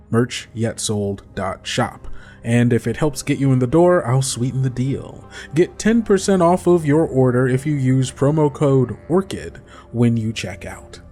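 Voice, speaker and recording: A man's voice: mid-range voice, mellow. A man hosting an actual play podcast, giving an upbeat promotional read that appeals directly to listeners. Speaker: podcast host.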